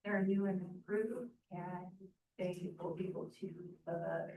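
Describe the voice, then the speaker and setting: A woman presenting at an event, speaking at an unhurried pace with some fillers. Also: lowish voice, fairly even intonation. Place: event room. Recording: roomy, distant mic with faint noise.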